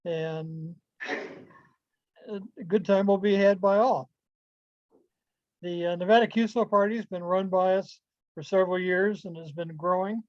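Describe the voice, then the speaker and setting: A man giving an informal club presentation over a video call, speaking haltingly with pauses. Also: high voice, fairly even intonation, no room sound. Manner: halting, pausing delivery. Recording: dull, lo-fi video call audio.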